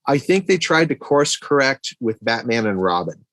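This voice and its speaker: A man's voice: mid-range voice, sing-song, normal speed, a bit bright, no echo, clean studio sound. A man co-hosting a podcast, chatting casually about movies in a laid-back, slangy way. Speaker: podcast co-host.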